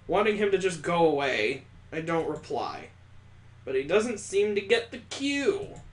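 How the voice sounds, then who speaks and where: A man voicing a detached, world-weary young heroine narrating a visual novel in a measured, dramatic reading. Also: on the high side, very animated. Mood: annoyed, aloof. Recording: echoey, roomy sound.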